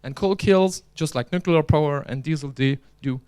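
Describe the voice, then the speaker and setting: Clear-voiced man giving a joking conference talk. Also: fairly high pitch, normal speed, darkish tone. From Belgium. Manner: chatty, joking, restarts often. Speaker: conference speaker and organizer. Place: conference hall.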